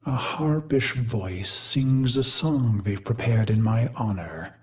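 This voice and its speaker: A man playing a grim, self-loathing warrior in a video game, speaking in a solemn, brooding, deliberate monologue. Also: mid-range voice, a little mellow, close to an everyday voice. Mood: grim, bitter. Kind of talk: dramatic scripted game monologue.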